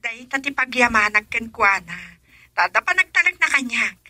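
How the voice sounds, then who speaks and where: A cheerful young office worker in an Ilocano radio drama. Her high, very bright voice swings in animated tones, with an Ilocano accent. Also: moderate pace, no echo, heavily acted. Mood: cheerful, chatty.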